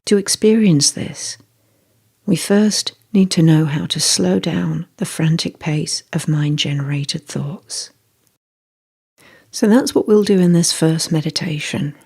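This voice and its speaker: A meditation guide reading a scripted guided meditation in a soft, breathy, measured and deliberate way. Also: medium pitch.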